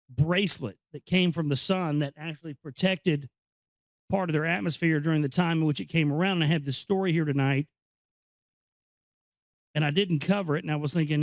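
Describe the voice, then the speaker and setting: A man hosting an informal read-aloud, explaining and commenting in a halting, conversational way. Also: fairly high pitch, no echo. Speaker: host reading a book aloud with commentary. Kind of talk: informal reading with off-the-cuff commentary.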